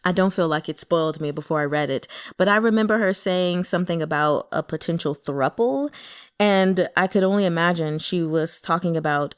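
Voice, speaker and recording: Woman w a slightly low voice, chatty book reviewer, real candid and a bit exasperated, just talking casually. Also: smooth. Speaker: book reader and reviewer with an audience. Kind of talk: casual chat commentary on a novel.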